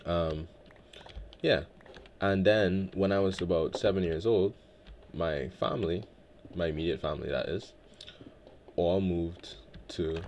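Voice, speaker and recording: A man from Guyana hosting a relaxed game let's play, talking in a laid-back, reflective way with many filler words. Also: low voice, vocal fry, a little hiss or hum. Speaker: Minecraft let's play host. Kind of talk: relaxed gameplay commentary.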